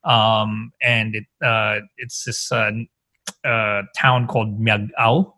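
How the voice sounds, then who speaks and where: Filipino guy rambling in a podcast chat, bright tense voice, dead dry sound, says like a lot. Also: fairly low pitch, little pitch movement, takes it slowly, dry recording. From Philippines. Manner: rambling, heavy use of "like" and "um". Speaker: guest. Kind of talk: casual conversation.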